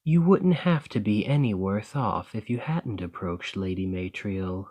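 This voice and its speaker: A man voicing a calm, gracious male lamia noble merchant in an ASMR roleplay, speaking softly in a measured, formal way. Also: fairly low pitch. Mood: reminiscing. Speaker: boss speaking to the listener.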